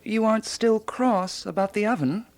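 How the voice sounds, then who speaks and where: A woman's voice: medium pitch, normal speed, a little mellow, natural voice. An actress playing a fussy, self-important, eccentric woman sleuth in a radio drama, theatrical and given to pondering aloud. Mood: indignant, fussy.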